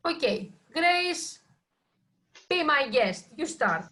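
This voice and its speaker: A woman's voice: high voice, very animated, takes it slowly, pushed and bright. A woman leading a poetry reading and group discussion. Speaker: session leader reading a poem.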